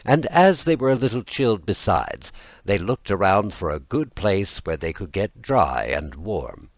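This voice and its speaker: A man with a low-pitched voice narrates a fairy tale in a close, dry recording. He reads expressively and deliberately, with a lively rise and fall in his intonation. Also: no echo. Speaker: audiobook narrator.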